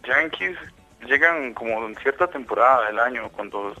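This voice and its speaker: A man's voice: medium pitch, lively intonation, moderate pace, bright, forceful tone, soft background music, rough recording. A man with a Costa Rican accent, chatting casually as a guest, easygoing and a bit hesitant. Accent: Costa Rican Spanish. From Costa Rica. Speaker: guest on a phone call. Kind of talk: casual chat.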